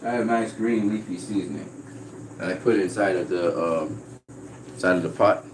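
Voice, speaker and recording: A man from Trinidad chatting casually on a livestream, reading out viewer comments in a relaxed way. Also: low-pitched, moderate pace, noisy background. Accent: slight American-sounding Trinidadian. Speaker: vlogger.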